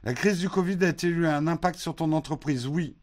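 A man hosting a morning live stream, chatting casually through viewer questions. His voice sits a little higher than most men's. He talks in a relaxed, meandering way, often pausing on fillers and restarting his sentences. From France. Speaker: host of a morning live show answering chat.

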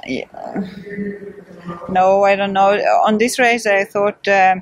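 A woman's voice: mid-range voice, fairly even intonation, slow, dry recording. A young woman with a Swedish accent, talking casually with many hesitations and light laughs in an interview. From Sweden. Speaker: interviewed trail runner. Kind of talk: casual post-race interview.

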